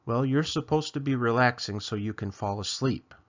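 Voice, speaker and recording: A man voicing a patient, weary father chicken who gently chides his child in a bedtime storybook. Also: mid-range voice, normal speed, muffled recording, close to an everyday voice. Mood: chiding, patient. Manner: gently chiding.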